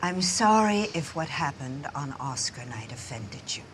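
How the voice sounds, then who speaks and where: Low-voiced, icily grand film diva. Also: female voice, big pitch swings, a little slow, background noise. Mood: icily gracious. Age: middle-aged. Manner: mannered, precise, pointedly courteous. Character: grand, icily polite, vain aging film actress. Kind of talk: television period drama acting.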